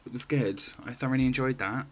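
A woman's voice: very deep voice, quick pace. A woman co-hosts a chatty podcast. She talks informally and exclaims often. Her delivery is conversational, with jokey asides as she goes through stories. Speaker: podcast co-host. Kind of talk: casual chat, retelling a magazine story.

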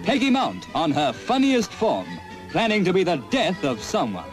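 A man announcing an old film trailer in a booming showman style, heard from an old film recording with music behind. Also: huge pitch swings, moderate pace. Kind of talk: 1950s film trailer narration.

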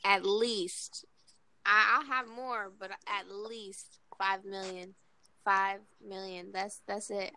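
A woman's voice: medium pitch, background noise. A woman in her twenties chatting casually in a brash, blunt and boastful way. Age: 20s. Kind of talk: casual chat.

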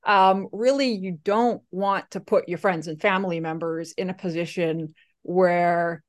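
A woman's voice: on the low side, steady tone, a little slow. A woman from Slovakia, Slovak her first language, telling her story casually in an interview with many fillers and restarts. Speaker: guest.

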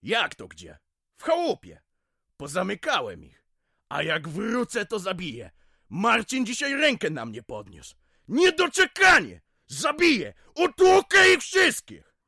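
A man's voice: sits high, huge pitch swings, measured pace, vocal fry, bright, forceful tone, slightly rough, no echo, clean studio sound, full character voice. An actor playing a drunken, violent middle-aged village man in an audio drama, ranting vulgarly with swearing and threats. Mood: furious, muttering.

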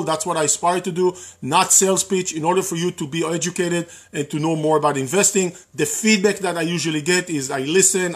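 A man whose first language is Hebrew, giving a casual live-streamed talk on real estate investing, repeating words and using many fillers. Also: a little high.

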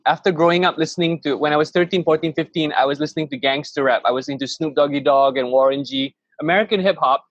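A man's voice: a little high, no room sound, lo-fi sound. A man in his thirties who grew up in Malaysia, a podcast guest telling his story in a rambling, enthusiastic way with many restarts. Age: 30s. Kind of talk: casual interview chat.

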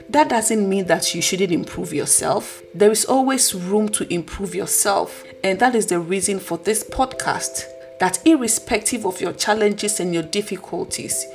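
A woman with a husky voice hosting a podcast, delivering an earnest, preachy motivational talk. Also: medium pitch, unhurried, soft background music. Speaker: podcast host.

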